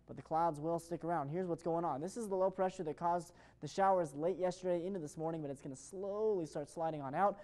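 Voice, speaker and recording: American guy doing the tv weather, upbeat and chatty, really quick, mellow voice. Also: a little high. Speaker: television weather forecaster. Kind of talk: ad-libbed broadcast weather forecast.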